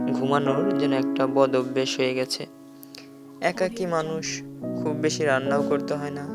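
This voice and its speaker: Higher-pitched man narrating a horror story. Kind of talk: scripted first-person horror story narration with acted dialogue.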